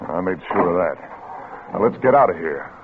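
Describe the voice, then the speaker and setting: An actor playing a grief-stricken, vengeful father who narrates his own crime haltingly in a 1950s radio suspense drama. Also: low-pitched, expressive, heavy background noise. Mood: numb, weary. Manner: halting, haunted delivery swinging into anguished outbursts. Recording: tinny vintage radio recording with music.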